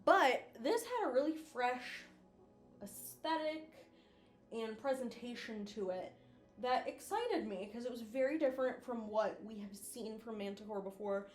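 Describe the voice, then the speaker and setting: A woman's voice: medium pitch, echoey room. A woman giving casual, slangy and effusive video commentary, like an online host chatting to viewers.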